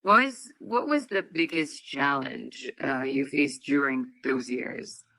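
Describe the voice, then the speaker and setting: A young woman in her twenties moderates the interview with a bright, forward voice. The recording is close and dry, with no room around her. Her questions come out earnest and a little halting. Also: very deep voice, creaky, no room sound, poor audio. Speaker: podcast moderator, medical student. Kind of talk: scripted intro and interview questions.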